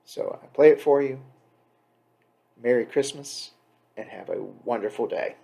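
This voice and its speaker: A man's voice: mid-range voice, unhurried, gravelly, dark tone. Man chatting casually with wry, emphatic asides. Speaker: host introducing a poem reading. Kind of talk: casual off-the-cuff spoken introduction.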